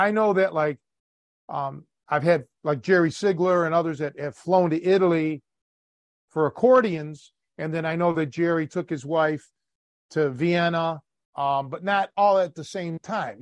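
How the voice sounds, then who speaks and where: American guy on a podcast, gravelly fry in his voice, rambles with lots of ums, dry close sound. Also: a little high, dry recording. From United States. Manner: rambling, with many fillers and restarts. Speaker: podcast host. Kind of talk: casual chat.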